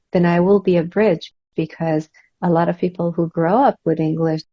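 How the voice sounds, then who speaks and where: A woman's voice: sits low, dry recording, lo-fi sound. Earnest woman author, from Iran. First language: Kurdish. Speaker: author guest. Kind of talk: interview answers.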